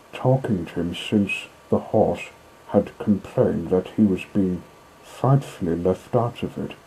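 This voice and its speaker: A man narrating a classic children's story in a measured, dramatic way, with deliberate pauses and a husky voice. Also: deep voice, dark tone, slight background noise, poor audio.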